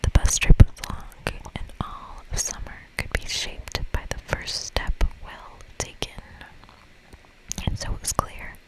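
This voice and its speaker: A woman's voice: measured pace, poor audio. Whispering woman, ASMR game playthrough. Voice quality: breathy, unvoiced whisper. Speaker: ASMR gaming host.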